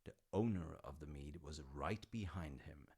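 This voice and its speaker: A man playing an easygoing dad in an audio roleplay, telling a story conversationally with wry, hesitant asides and a gravelly voice. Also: extremely low pitch, normal speed, warm tone, exaggerated voice. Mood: amused, storytelling. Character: easygoing dad telling his grown child Norse myths.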